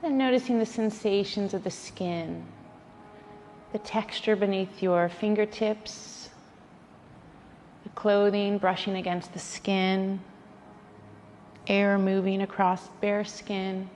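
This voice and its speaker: A woman guides a relaxation practice at a very slow pace, with gentle, soothing phrasing and pauses between instructions. Her medium-pitched voice rings in a long reverb, over faint background noise. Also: fairly even intonation. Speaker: yoga nidra instructor.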